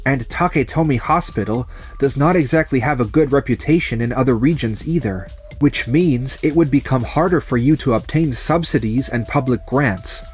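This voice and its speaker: A man playing a calm, resolute young surgeon turned farmer in a manga dub, speaking in a measured, firm way. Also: mid-range voice, soft background music, natural voice. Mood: firm, accusing.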